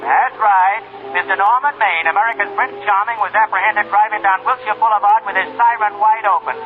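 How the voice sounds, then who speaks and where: An actor playing a sardonic, wisecracking Hollywood press agent with mock-formal sarcasm, in a 1940s radio drama. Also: male voice, sits high, fairly quick, music in the background, close to an everyday voice. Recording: tinny narrow-band 1940s radio.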